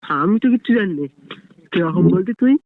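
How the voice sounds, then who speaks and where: A man's voice: sits high, animated, brisk, dry recording. A young man, chatting on the phone in a flustered, plaintive, repetitive way. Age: young adult. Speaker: friend receiving a prank call. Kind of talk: casual phone chat. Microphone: phone line. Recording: tinny, phone-like call audio.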